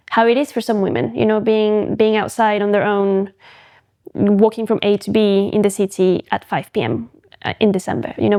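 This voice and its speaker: Young mexican woman chatting on a podcast, mellow voice, keeps restarting her thoughts. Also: mid-range voice. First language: Spanish. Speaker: podcast guest, photographic artist. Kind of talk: casual interview chat.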